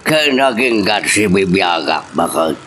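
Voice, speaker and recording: A man's voice: low voice, very animated, a little slow, fairly bright tone, faint music behind, heavily acted. A man with an Ilocano accent playing an indignant, stubborn older husband and father in a family drama. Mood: grave. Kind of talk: radio-style Ilocano family love drama acting. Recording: clear background noise.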